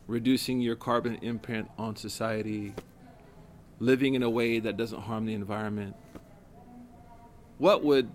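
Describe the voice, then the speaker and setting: Male study-circle teacher, deep voice with a cold, casual lecture with fillers, faint ambient hum. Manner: conversational, with many fillers and restarts. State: has a cold. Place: community center.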